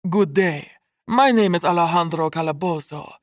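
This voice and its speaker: A woman's voice: lowish voice, normal speed, no echo, clean studio sound, close to an everyday voice. A woman voicing a stern, scornful middle-aged man with a Spanish accent in an audiobook, hollow and precisely enunciated. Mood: stiff.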